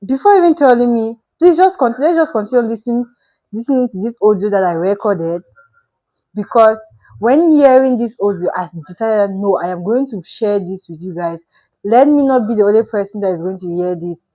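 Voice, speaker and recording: A woman's voice: medium pitch, expressive, moderate pace, dark tone, dry recording, low-quality recording. A woman introducing her channel's video, speaking in an earnest, pleading way and repeating her asks. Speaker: channel host.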